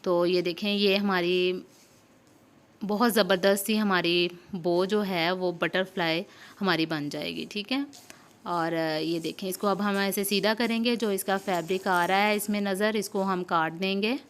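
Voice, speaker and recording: A woman with a smooth, clear voice at an ordinary pitch hosts a hands-on tutorial. She explains things step by step in an informal way. She often goes back over a step and checks that the listener is following. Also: steady tone, unhurried. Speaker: sewing tutorial host. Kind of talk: informal step-by-step instructional narration.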